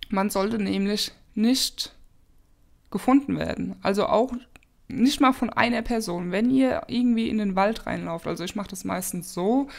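Podcast host, woman, slightly sniffly from a cold, relaxed rambling advice. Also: mid-range voice. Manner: chatty, many fillers and restarts. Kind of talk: casual advice talk.

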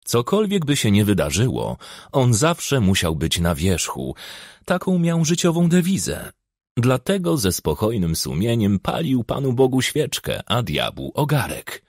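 A man narrating a crime novel as an audiobook in speech, with measured, expressive storytelling. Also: mid-range voice, no echo, studio quality.

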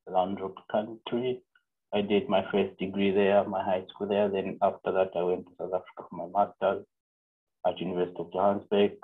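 A young man from Zambia speaks in a low, husky voice that stays flat and even. He lectures in an online seminar, pausing on fillers and correcting himself as he goes. The sound is thin and phone-like, as over a video call. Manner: halting, many fillers and self-corrections. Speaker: physics PhD student presenting a seminar. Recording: narrow phone-like call audio.

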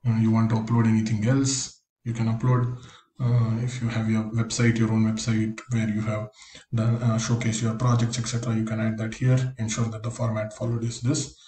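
A man talks through a screen-recorded tutorial, explaining each step plainly. His voice is mellow and fairly monotone. The room is echoey, with a faint hiss behind him. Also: on the low side, brisk. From India. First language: Konkani. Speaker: career coach.

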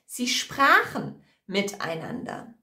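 A young woman teacher telling a story for language learners, deliberate and expressive, in a small room at home. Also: mid-range voice. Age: young adult. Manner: deliberate, clearly expressive, repeats simply. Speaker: German teacher. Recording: roomy indoor echo.

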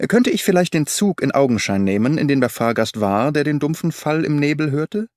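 Man as audiobook detective, keen, brooding, thinking aloud, lively intonation, dry close sound. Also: a little high, dry recording, voice pushed up, natural voice. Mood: keen, eager. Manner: murmuring, deliberate, thinking aloud. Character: keen, brooding male detective, intense and impatient. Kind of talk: audiobook character reading.

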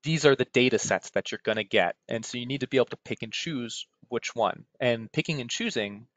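A man's voice: mid-range voice, brisk, fairly bright tone. A man with an American accent gives an informal technical webinar presentation in a conversational way, often checking in with "right?". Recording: roomy, dull lo-fi webinar audio.

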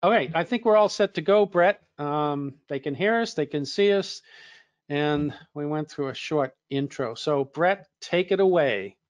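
A man moderating an online training webinar, slightly hoarse, talking in a relaxed, conversational way with fillers and restarts. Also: on the high side, measured pace, no room sound.

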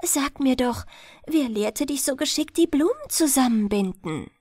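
A woman reading a bedtime fairy tale, voicing a mysterious, friendly water nixie with a light, coaxing, gently curious way of talking. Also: sits high, expressive, measured pace, no echo, studio quality. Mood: curious, pleased. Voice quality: bright, light voice.

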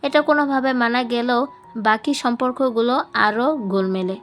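A woman's voice: a little high, normal speed, a bit bright. A woman reading a scripted story as an audiobook narrator. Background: music.

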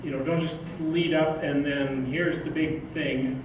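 An older man gives an informal talk, rambling a little as he goes. His voice is on the higher side. It is recorded from a distance in a very echoey hall, with clear background noise. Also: fairly even intonation, measured pace, muffled recording. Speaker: speaker, magazine editor. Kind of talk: informal live talk from notes. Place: theatre stage, indoors. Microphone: stage mic on stand, picked up by distant camera. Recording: roomy, noisy stage recording from far off.

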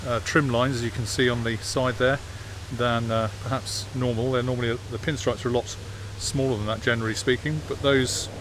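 A man's voice: mid-range voice, fairly quick, few pauses. Man chatting about cars outdoors. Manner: relaxed, hesitant with many fillers. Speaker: classic car vlogger. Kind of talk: casual walk-around commentary. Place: outdoor car park by a workshop. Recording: outdoor phone video with clear background noise. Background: jet washer and outdoor noise.

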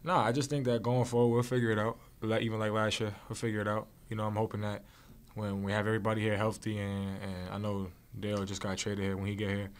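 Fast-talking young man, flat and low. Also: clean tone. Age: young adult. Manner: casual, rapid, repetitive phrasing. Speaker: basketball player. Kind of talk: postgame press conference answers. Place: press room with logo backdrop. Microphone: desk mic on stand.

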